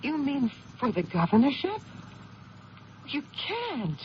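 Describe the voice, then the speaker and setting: A woman's voice: high-pitched, wildly swooping pitch, slow pace, full character voice. An actress playing a concerned, supportive woman, earnest and coaxing, in a 1970s radio drama. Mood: insistent support. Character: concerned, supportive woman confiding with troubled man. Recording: dull, slightly noisy old radio recording.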